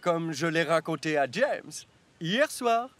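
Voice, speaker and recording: A man's voice: a little high, big pitch swings, slow, a bit bright, heavily acted. A man voicing an earnest, proud little tank engine in a French children's cartoon, eager and instructive. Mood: helpful.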